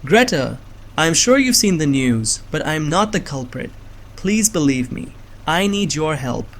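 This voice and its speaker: A man playing a nerdy, anxious young man on the run, narrating a suspense story in a measured, earnest way. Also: on the high side, big pitch swings, fairly bright tone, slight background noise, exaggerated voice. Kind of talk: first-person scripted narration of suspense fiction. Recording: music behind the voice.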